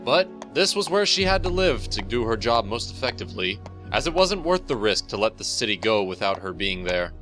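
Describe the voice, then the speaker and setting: A man giving an expressive scripted narration of a story, as in an audiobook. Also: fairly low pitch, moderate pace, few pauses. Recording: music playing behind the voice.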